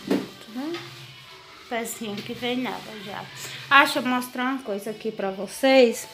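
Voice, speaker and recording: High-pitched Brazilian woman vlogging. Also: normal speed, noisy background. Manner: informal, showing and explaining as they go. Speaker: home vlogger. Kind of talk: casual chat to viewers. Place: home kitchen, indoors. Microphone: handheld phone or camera, held by the speaker.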